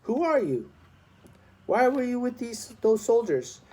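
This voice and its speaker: Army major for a storybook, read by a man: authoritative, stern yet softening, clipped questions, mellow timbre. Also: very high-pitched, sing-song, measured pace, voice pushed up, heavily acted. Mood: stern, suspicious. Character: heavy-faced frowning army major, stern but softening.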